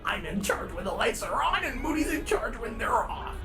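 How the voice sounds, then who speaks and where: A woman's voice: on the high side, sing-song, moderate pace, music in the background. A woman voicing an exuberant, childlike sun robot daycare attendant in a cartoonish video game style. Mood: bright, exuberant. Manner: exuberant, chirpy, eager to please.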